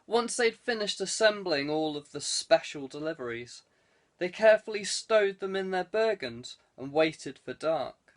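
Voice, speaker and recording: A man's voice: sits high, lively intonation, a little slow. A man reading scripted fiction as an audiobook narrator. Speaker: audiobook reader.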